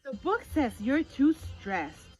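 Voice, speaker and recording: A woman's voice: high-pitched, sing-song, slow, a little mellow, voice pushed up, exaggerated voice. A woman voicing a kindly, patient male knight from a storybook in a coaxing, reassuring manner. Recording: dull lo-fi audio with music behind.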